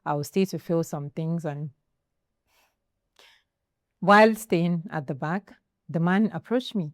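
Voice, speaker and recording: A Nigerian woman hosting a television panel discussion, telling stories in an animated way. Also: on the low side, measured pace, dry recording, studio quality. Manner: animated, acts out quoted voices. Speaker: talk show host. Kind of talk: panel discussion, lively storytelling. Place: television studio.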